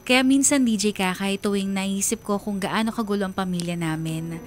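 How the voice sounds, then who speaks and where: A woman's voice: mid-range voice, moderate pace, a little hiss or hum, close to an everyday voice. A woman playing a sorrowful, earnest young letter writer with a Filipino accent, narrating a radio drama in the first person. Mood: pained. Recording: music bed behind the voice.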